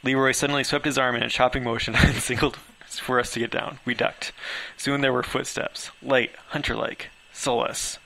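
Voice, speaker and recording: A man's voice: medium pitch, flat delivery, brisk, gravelly, hardly any pauses, pushed and bright. A man reading his own story aloud as amateur first-person narration, occasionally stumbling over words.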